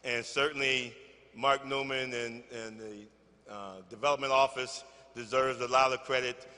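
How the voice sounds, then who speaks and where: A man with a loud voice speaking off-script at a ceremony in a gymnasium, halting with fillers. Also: mid-range voice, fairly even intonation, big-room sound. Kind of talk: informal ceremony speech.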